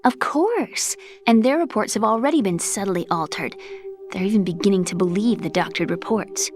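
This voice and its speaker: A woman's voice: mid-range voice, expressive, normal speed, a little mellow, faint music behind, natural voice. An actress voicing an earnest, brainy young woman computer scientist in a video game, lecturing precisely and a little haughtily with pointed questions. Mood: insistent. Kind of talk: scripted game cutscene dialogue.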